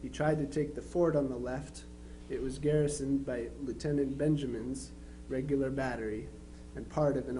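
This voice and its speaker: Man as young soldier son, dramatized letter reading, soft mellow tone, measured and plain-spoken, faint noise and hum. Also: on the high side, natural voice. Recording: noisy live recording with hum.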